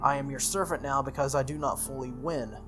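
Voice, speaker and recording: A man plays a young swordswoman servant from a visual novel. She speaks formally and solemnly, firm about her duty, at a medium pitch with music playing underneath. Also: fairly even intonation, fairly quick, close to an everyday voice. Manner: formal, solemn, matter-of-fact. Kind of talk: visual novel character read-aloud.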